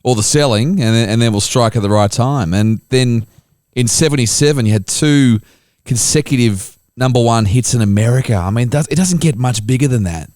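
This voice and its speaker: A man's voice: mid-range voice, moderate pace, darkish tone, dry recording, clean studio sound. A man with an Australian accent hosting a podcast interview, chatty and prone to repeating words as he frames questions. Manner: stumbling repeats, conversational. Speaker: podcast host. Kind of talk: casual interview chat.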